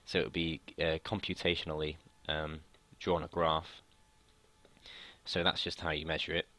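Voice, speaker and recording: A young man from the United Kingdom explaining a physics lesson in a casual way, his voice slightly croaky from long talking, often hesitating and restarting sentences. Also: rumbling low, level tone, moderate pace, sharp tone. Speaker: student tutor.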